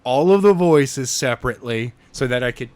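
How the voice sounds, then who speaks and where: A man's voice: medium pitch, expressive, normal speed, darkish tone, no echo. A man co-hosting a podcast, chatting casually about books and storytelling. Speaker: podcast co-host and amateur narrator. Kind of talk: casual book discussion.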